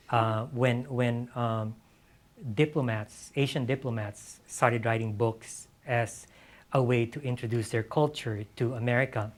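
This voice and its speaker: Male novelist on a panel, mid-pitched, reflective, halting delivery with repeated words. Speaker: novelist being interviewed on a panel.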